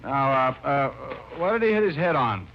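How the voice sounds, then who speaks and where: A man's voice: mid-range voice, very animated, moderate pace, a little hiss or hum, natural voice. A man acting a dry, tough, wisecracking city detective in old-time radio style. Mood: wry. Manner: dry, sardonic. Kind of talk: 1950s radio acting, first-person narration and dialogue. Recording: muffled vintage radio recording, music behind.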